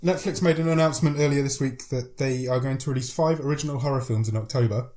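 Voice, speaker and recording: A man's voice: mid-range voice, fast talker, dark tone. A man with a cold co-hosting a podcast, chatting casually and laughing often as he runs through news. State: has a cold. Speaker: podcast co-host. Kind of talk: casual chat.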